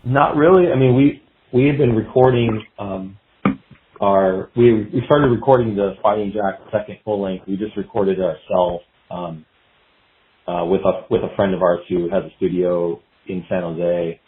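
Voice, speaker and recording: A man with a low voice talks casually as an interview guest. He rambles reflectively in a halting, loose way. The sound is thin and phone-like, with a roomy echo around him. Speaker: guest musician, guitarist. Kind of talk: casual podcast interview answers.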